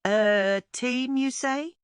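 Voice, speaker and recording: A woman's voice: high voice, extremely slow, smooth, dry recording, clean studio sound, voice pushed up. A woman voicing a friendly, chirpy female starfish who has long been stuck, in a children's storybook. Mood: hopeful wonder.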